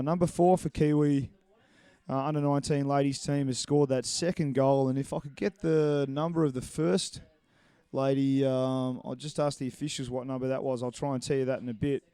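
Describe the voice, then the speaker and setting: A man calls a live match from a commentary box, chatty and rambling, full of little fillers as he goes. His voice is mellow and smooth, and the recording is close and dry. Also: mid-range voice, no echo. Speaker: sports commentator. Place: commentary box at an indoor pool.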